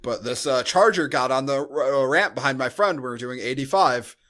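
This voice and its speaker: A man's voice: medium pitch, a little fast, bright, forceful tone. An American man co-hosting a podcast in casual, rambling, joking conversation. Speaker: podcast co-host. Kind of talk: casual chat, movie review.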